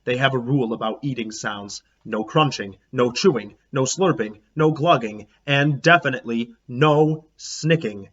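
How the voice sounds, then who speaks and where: A man's voice: mid-range voice, measured pace, hardly any pauses, fairly bright tone, husky. A man voicing an earnest, bossy third-grade girl from a storybook, emphatic and insistent. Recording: dull lo-fi, background noise.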